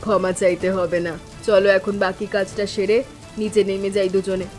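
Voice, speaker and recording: Calm, protective elder sister-in-law, acted by a woman, music behind. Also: medium pitch, moderate pace, lightly acted. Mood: soothing. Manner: reassuring, gently instructing. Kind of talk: Bengali animated family drama dialogue. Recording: music bed with rain sounds.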